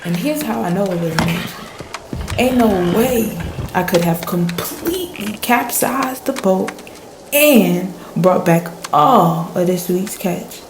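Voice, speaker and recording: A woman playing a shaken, spiritual fisher in a radio play, recounting a brush with death in halting, dramatic fashion with pauses and repetition. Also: medium pitch, lively intonation, noisy background. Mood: reflective, awed. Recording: roomy echo with music underneath.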